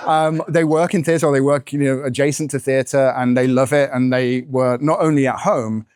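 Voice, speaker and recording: A man with a croaky voice, the author and podcast co-host being interviewed at a bookshop event, answering in a chatty way with lots of fillers. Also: mid-range voice, steady tone, moderate pace, a little mellow. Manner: chatty, with many fillers and hedges. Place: bookshop event, in front of an audience.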